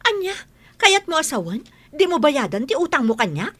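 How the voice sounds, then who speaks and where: A woman's voice: high voice, very animated, moderate pace, pushed and bright, exaggerated voice. A woman playing an aggrieved village moneylender in an Ilocano radio comedy drama, scolding and emphatic, with an Ilocano accent. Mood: angry.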